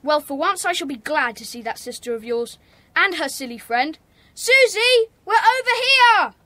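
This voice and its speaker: A woman's voice: high voice, animated, normal speed, bright, forceful tone, no room sound. A woman playing a sensible, bossy schoolboy gang leader with an English accent in a children's audio drama. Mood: urgent.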